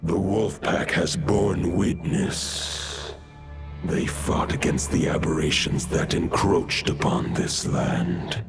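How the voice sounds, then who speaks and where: A man voicing an ancient wolf spirit in a video game, solemn and formal, with a gravelly, breathy growl. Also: sits low, slow, exaggerated voice. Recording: loud music behind the voice.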